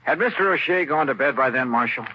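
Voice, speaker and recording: An actor playing a cool, probing insurance investigator. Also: male voice, mid-range voice, expressive, quick pace, close to an everyday voice. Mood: questioning. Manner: probing, dry questioning. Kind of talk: 1950s radio drama acting. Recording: noisy dull old radio broadcast.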